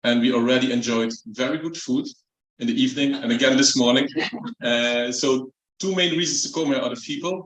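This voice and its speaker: A man from the Netherlands with a Dutch accent, giving a relaxed, chatty speech as a company executive at a launch event. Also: medium pitch. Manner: relaxed, chatty, light jokes. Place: event room. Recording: echoey hall.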